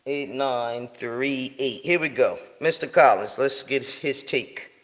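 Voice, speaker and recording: A man's voice: medium pitch, slow pace, long reverb tail. A man hosting a live show, talking loosely in slang with lots of fillers and restarts. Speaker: podcast host. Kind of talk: casual live show chat.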